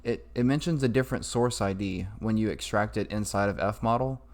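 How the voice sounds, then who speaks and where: A man talking through a casual, improvised software tutorial in an informal way. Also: on the low side, fairly quick. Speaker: tutorial maker. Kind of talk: casual screen-recorded tutorial.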